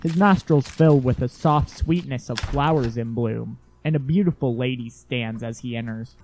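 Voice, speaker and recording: A man's voice: mid-range voice, moderate pace, darkish tone, faint music behind, poor audio. A man reading scripted story narration as the narrator of an audio drama. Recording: faint background noise.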